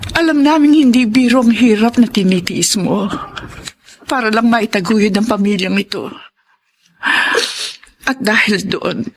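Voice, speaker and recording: A woman's voice: mid-range voice, breathy edge. An actress playing a loving, apologetic, self-sacrificing mother in a Filipino radio drama, speaking with tender, earnest emotion. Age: middle-aged. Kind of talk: Tagalog radio drama acting.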